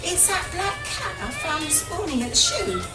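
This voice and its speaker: An actress playing a tender, animal-loving British pet shop woman in a radio drama, gentle and coaxing in manner. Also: very high-pitched, sing-song, moderate pace, loud music behind the voice, a loud crowd. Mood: doting. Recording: roomy, distant, noisy with animal sounds.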